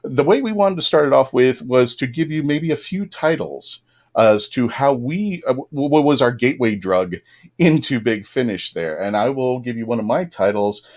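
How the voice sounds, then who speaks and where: Man, mid-pitched voice, panel host, casual fan talk. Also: expressive, normal speed.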